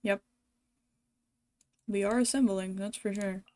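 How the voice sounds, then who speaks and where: A woman's voice: mid-range voice, steady tone, measured pace, mellow. A woman game streamer chatting casually to viewers as she plays. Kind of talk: casual livestream chat while playing a game.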